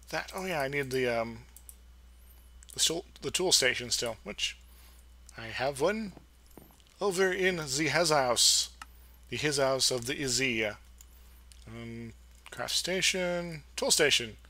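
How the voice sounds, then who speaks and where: A young man talks through his game session in a loose, rambling way, joking around and fumbling for words as he goes. His voice is bright and forward at an ordinary pitch. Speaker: let's play host. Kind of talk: casual gameplay commentary.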